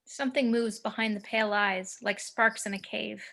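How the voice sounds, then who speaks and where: A woman's voice: mid-range voice, fairly even intonation, normal speed, creaky, fairly bright tone. A woman reading screenplay action descriptions as scripted narration for a table read.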